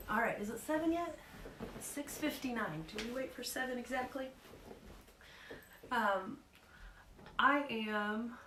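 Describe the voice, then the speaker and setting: A woman, slightly hoarse, giving a casual live talk in a nervous, rambling way with lots of fillers. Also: mid-range voice, a bit bright, reverberant, slight background noise. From Oklahoma.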